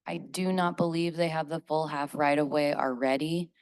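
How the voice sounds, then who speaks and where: A woman on city planning staff, hoarse from being sick, giving careful, measured answers at a public hearing. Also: deep voice, fairly even intonation, darkish tone, no room sound. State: hoarse from illness. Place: council chamber.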